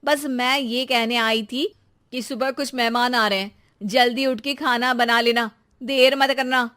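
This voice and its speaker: Woman doing a young daughter-in-law, high voice, boastful, teasing, impatient, children's cartoon story. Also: fairly even intonation, measured pace, a bit bright. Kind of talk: children's animated moral story.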